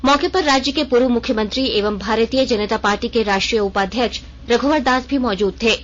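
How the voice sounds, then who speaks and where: A woman presenting a regional radio news bulletin, reading a script in a formal, measured newsreader manner. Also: fairly high pitch. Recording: dull, band-limited radio recording.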